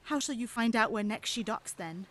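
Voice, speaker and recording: Woman playing anxious, high-voiced Tudor friend. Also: normal speed, fairly bright tone, natural voice. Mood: worried, pleading. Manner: incredulous, pleading, old-fashioned speech. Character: anxious, skeptical young Roma woman, loyal Tudor musician. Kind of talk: audio play dialogue.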